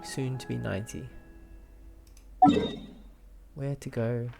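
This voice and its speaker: A man's voice: medium pitch, fairly even intonation, takes it slowly, vocal fry. A young man giving casual let's play commentary, informal and thinking aloud as he reacts. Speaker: gamer playing and reacting to a story quest. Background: game music.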